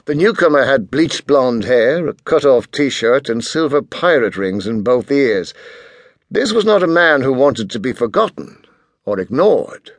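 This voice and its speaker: Man, audiobook narration, expressive, medium pitch, highly varied intonation, scripted. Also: a little slow, no room sound.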